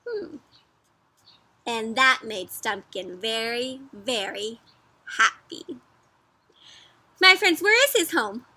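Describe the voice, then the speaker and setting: A woman with a high, bright voice hosts a storybook read-aloud for young children. She speaks expressively, with animated emphasis and wondering questions. Her delivery is encouraging, like a teacher guiding small listeners through a book. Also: no echo.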